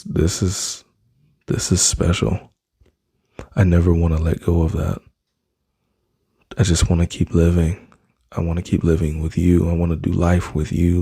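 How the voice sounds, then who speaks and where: Low gravelly man whispering soothingly. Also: no echo, clean studio sound. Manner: soft, slow, soothing, intimate address to listener. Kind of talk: ASMR boyfriend roleplay.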